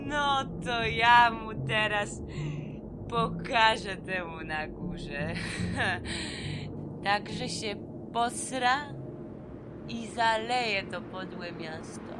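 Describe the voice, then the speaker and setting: A woman's voice: mid-range voice, very slow, long pauses, very noisy. An actress playing a bitter, drunken woman fresh out of prison, rambling and swearing in slangy speech in an audio drama. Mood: defiant, angry. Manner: rambling, vulgar, slurred drunken repetitions. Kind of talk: Polish audio drama, slangy profane monologue. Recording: music under the voice. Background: music.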